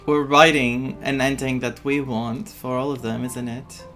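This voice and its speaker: A woman's voice: rumbling low, flat delivery, measured pace, music in the background. A woman gaming streamer giving casual commentary on a playthrough.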